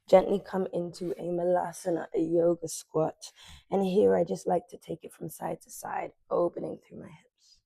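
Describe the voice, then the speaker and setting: A woman in her twenties guiding a morning stretching class, cueing softly and unhurriedly as she moves. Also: fairly low pitch, creaky, breathy edge, dry recording. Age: 20s. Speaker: yoga instructor. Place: sunlit living room with large windows.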